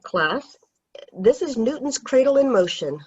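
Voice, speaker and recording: A woman's voice: fairly high pitch, big pitch swings, a little slow, darkish tone, husky, highs cut off, exaggerated voice. Woman playing a stern schoolteacher. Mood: explaining. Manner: stern, commanding. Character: strict middle-aged female high school teacher.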